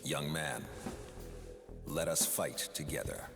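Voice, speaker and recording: An actor playing a proud, solemn ninja-like warrior in a video game, speaking deliberately. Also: male voice, rumbling low, sing-song, gravelly, heavily acted. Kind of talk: video game cutscene acting. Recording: long reverb, loud dramatic game music.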